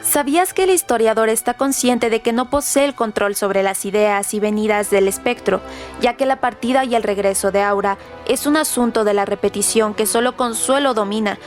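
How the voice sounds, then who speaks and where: High-voiced woman reading literary commentary. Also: normal speed, soft background music. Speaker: narrator. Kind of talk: scripted literary commentary read aloud.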